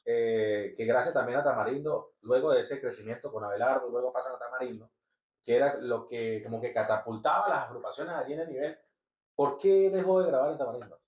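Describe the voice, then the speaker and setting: A man's voice: mid-range voice, brisk, darkish tone. A male podcast host asking questions in a casual interview, often prompting and finishing the guest's sentences. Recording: roomy, distant, dull lo-fi sound.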